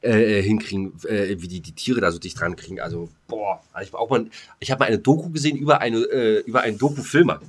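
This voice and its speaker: A man co-hosting a podcast in casual banter, often pausing to search for his words. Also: fairly low pitch. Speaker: podcast co-host.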